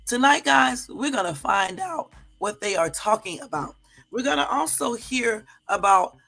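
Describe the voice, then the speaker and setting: A hoarse woman from the US West Coast hosting a live stream, chatting casually with viewers and sprinkling in lots of fillers. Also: mid-range voice, a bit bright, low-quality recording. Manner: chatty, addresses viewers as y'all, many fillers. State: hoarse from a cold. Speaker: livestream host.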